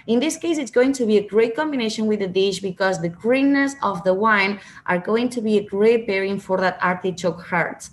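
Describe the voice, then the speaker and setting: A woman's voice: mid-range voice, moderate pace, few pauses. A woman in her thirties from Catalonia with a Spanish accent, presenting a winery's wines over a video call in careful, explanatory English with small restarts. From Catalonia, Spain. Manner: explanatory, restarts, non-native phrasing. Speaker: winery representative. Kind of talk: wine presentation to diners.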